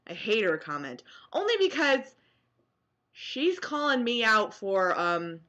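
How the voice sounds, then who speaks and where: A young American woman reacting casually to comments she reads aloud, sarcastic and animated, at home on a webcam mic. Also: on the high side, slow, dull audio. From New Jersey, US. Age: young adult. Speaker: vlogger. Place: small room at home, indoors.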